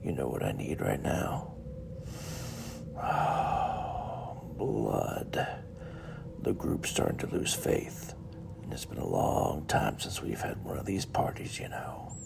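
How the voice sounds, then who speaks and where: A man playing a sinister, lecherous cult leader in an audio drama, slow, commanding and menacing. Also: very deep voice, big pitch swings, whispered, full character voice. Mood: menacing, impatient. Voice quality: very gravelly, breathy growl. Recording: clearly noisy background.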